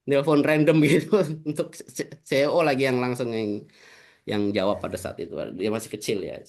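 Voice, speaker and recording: A man's voice: mid-range voice, moderate pace, creaky, darkish tone. Male guest speaker, casual webinar talk, tag-heavy chatter, video call audio. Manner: chatty, many fillers like gitu ya. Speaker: invited speaker.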